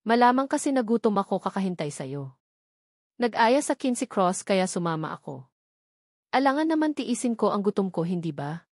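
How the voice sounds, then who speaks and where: A woman's voice: mid-range voice, very animated, moderate pace, no echo. Female reader as romance heroine: sulky young wife, Filipino accent, jealous, pouty, dry studio-like closeness. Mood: annoyed.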